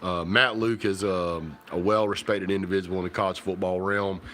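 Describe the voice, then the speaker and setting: A man, chatting casually to viewers on a livestream while stuffy and hoarse from a cold. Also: sits low, steady tone, normal speed, a bit bright, a little hiss or hum. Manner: rambling, folksy, talks to viewers directly. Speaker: livestream host. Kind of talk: casual livestream chat about football. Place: home kitchen.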